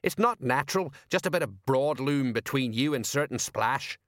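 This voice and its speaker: Comic audiobook sergeant played by a man: older, dim, blustering and jittery, raised animated pitch, dry sound. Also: moderate pace, no room sound, natural voice. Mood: anxious indignant. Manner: indignant, blunt, colloquial.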